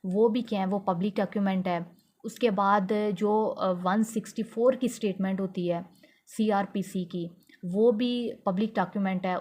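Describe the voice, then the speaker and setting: A woman with a Pakistani accent giving an explanatory law lecture, slowing down to read English definitions and then explaining them plainly. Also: medium pitch, a little mellow. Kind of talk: explanatory lecture mixing in English legal terms.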